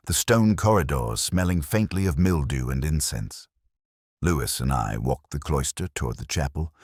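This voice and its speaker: A man giving a measured, brooding first-person narration of a detective story. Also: very deep voice, no echo, clean studio sound. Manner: measured, brooding, literary delivery.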